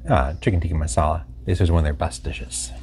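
Middle-aged man, co-host, very deep voice, rapid filler-laden talk, faint restaurant noise. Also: steady tone, a little mellow. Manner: fast, filler-heavy, agreeable. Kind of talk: casual mukbang chat. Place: restaurant booth.

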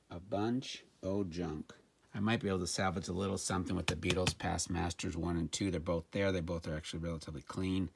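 A man's voice: low-pitched, creaky. A middle-aged man giving casual, off-the-cuff commentary on thrift finds, with dry, wry, muttered asides. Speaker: reseller showing thrift finds. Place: indoor room at home, at a table. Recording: noisy home recording with handling clicks.

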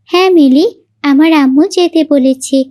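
A woman voicing a gentle, agreeable little girl in a children's cartoon, reasoning earnestly with her playmate. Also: high-pitched, moderate pace, lightly acted. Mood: friendly.

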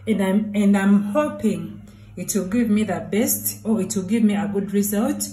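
A woman with a Ugandan English accent, chatting to viewers in a slow, easy way as she does the laundry at home. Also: medium pitch, warm tone, a little hiss or hum, poor audio. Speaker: vlogger doing laundry. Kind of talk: casual narration while doing chores. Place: tiled laundry room and outdoor alley at home. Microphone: phone camera.

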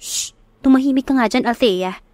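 A woman's voice: sits high, moderate pace, a little mellow, dry recording, faint music behind, natural voice. A woman voicing a bashful, defensive young woman in an audio romance, flustered and protesting, with a Filipino accent. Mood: scolding.

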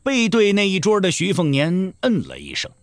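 A male narrator tells a wuxia story in a scripted, dramatic style. His voice is fairly high and moves widely in pitch. The recording is dry and close. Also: wildly swooping pitch, moderate pace, no echo.

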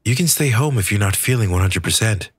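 A man's voice: lowish voice, expressive, brisk, breathy edge, dry recording, clean studio sound, pitched above the performer's own voice. A man voicing a brash, outspoken young woman in an audiobook, with sassy, emphatic delivery. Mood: concerned. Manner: sassy, emphatic questions and retorts.

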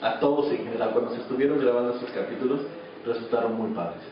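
A man's voice: mid-range voice, steady tone, quick pace. A man in his thirties with a Mexican accent, an actor answering casually in an interview. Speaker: radio drama actor being interviewed. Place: small office. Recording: echoey, distant, muffled, noisy camera audio.

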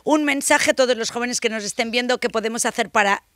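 A woman's voice: fairly high pitch, fast, fairly bright tone, no room sound, studio quality. A middle-aged woman, interviewing informally as a TV reporter. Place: shaded garden patio, outdoors. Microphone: handheld reporter mic.

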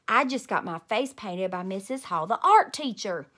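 A woman's voice: mid-range voice, lively intonation, normal speed, fairly bright tone. A woman voicing a preening, vain little girl who shows off in a children's storybook. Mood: boastful. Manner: showy, boastful.

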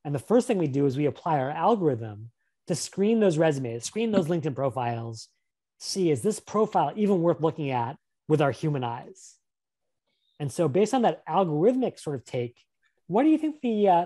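A man's voice: fairly high pitch, big pitch swings, moderate pace, dark tone. A man presenting a career webinar over a video call, upbeat and encouraging, often putting questions to a volunteer. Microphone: webcam on a video call.

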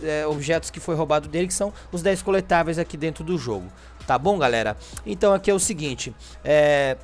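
A Brazilian man hosting a gaming channel, giving a casual, chatty walkthrough in informal speech peppered with little check-in tag questions. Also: high-pitched. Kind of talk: casual video game walkthrough commentary.

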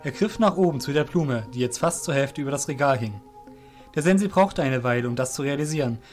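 A man's voice: mid-range voice, animated, a little fast, a little mellow, soft background music. A man reading scripted narration for an audio drama as the narrator.

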